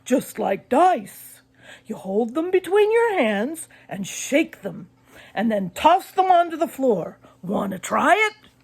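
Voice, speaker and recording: A woman voicing a showman-like father who relishes creepy tales, telling them in a dramatic, drawn-out way in a children's audiobook. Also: high voice, very animated, vocal fry, husky, voice pushed up, full character voice. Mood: enthusiastic, inviting.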